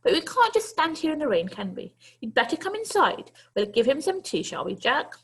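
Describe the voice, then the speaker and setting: A woman reading a children's storybook, voicing a small old lady who is brisk, hospitable and gently scolding, with a chatty, questioning manner. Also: high voice, big pitch swings, pitched above the performer's own voice, low-quality recording. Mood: brisk, teasing. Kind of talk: children's storybook read aloud.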